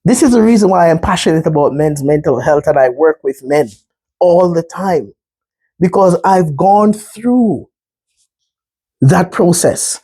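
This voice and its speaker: A Jamaican-accented man speaking slowly and earnestly through a slide talk. His voice is mellow and a little husky, and he keeps checking in with his listeners. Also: a little high, expressive. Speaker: mental health speaker.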